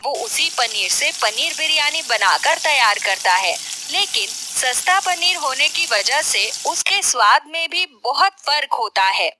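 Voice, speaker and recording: A woman's voice: sits high, animated, unhurried, few pauses, background noise. A woman reading scripted storybook narration for a cartoon tale. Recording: music bed behind the voice.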